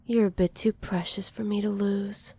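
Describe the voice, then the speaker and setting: A woman playing a dominant, possessive villain who dotes on a captive, softly menacing and teasing in an ASMR roleplay. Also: mid-range voice, level tone, normal speed. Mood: commanding, possessive. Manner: soft, teasing menace with breathy whispered endearments.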